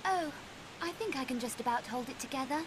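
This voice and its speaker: A woman's voice: high-pitched, animated, fairly quick, noisy background. An actress playing a plucky, sarcastic young girl adventurer in a video game, with dry, teasing delivery. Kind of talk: video game cutscene acting.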